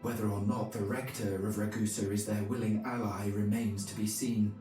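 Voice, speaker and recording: An actor playing a pious medieval monk narrating his journal for a video game in a formal, measured way. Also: lowish voice, level tone, mellow, far from the mic, lightly acted. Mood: solemn, reflective. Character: male medieval monk chronicler, pious, solemn. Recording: very echoey, music behind.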